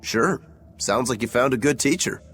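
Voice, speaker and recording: A man's voice: medium pitch, expressive, moderate pace, fairly bright tone, soft background music, natural voice. A man voicing an easygoing, helpful blade sharpener in a video game. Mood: instructive.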